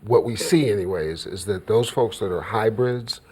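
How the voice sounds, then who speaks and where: A man's voice: on the low side, normal speed, darkish tone. A man with an American accent interviews a guest conversationally as the host of a talk show. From born in Montreal, grew up in Kentucky, USA.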